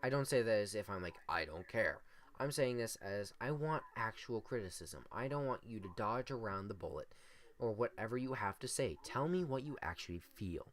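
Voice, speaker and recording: A young man with a deep, monotone voice co-hosting a casual improvised podcast and rambling through his points on a desktop USB mic. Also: normal speed. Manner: rambling, filler-heavy. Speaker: podcast co-host.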